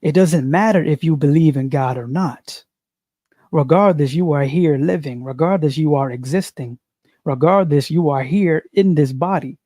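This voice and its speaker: A man giving an unscripted spiritual monologue, slow and preachy, repeating phrases and asking "you see". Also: on the high side, warm tone, dry recording, clean studio sound. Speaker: spiritual commentator.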